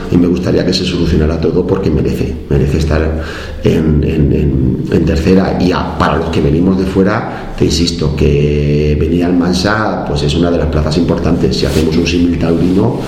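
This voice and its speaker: A man's voice: low-pitched, creaky, darkish tone, echoey room, slight background noise. A man from La Mancha in Spain with a Spanish La Mancha accent, a football coach giving a post-match interview, hesitant and often repeating his words.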